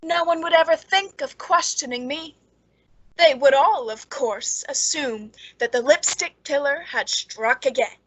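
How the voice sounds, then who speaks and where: A woman's voice: sits high, a little slow, dry recording, rough recording. A woman playing a cold, gleeful murderess who narrates and speaks in a theatrical radio suspense drama. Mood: gloating confession.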